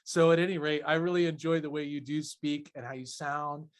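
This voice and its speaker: Effusive male interviewer with a higher-pitched voice. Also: flat delivery, fairly quick, smooth. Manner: effusive, affirming, wordy. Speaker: interviewer, host. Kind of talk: conversational interview.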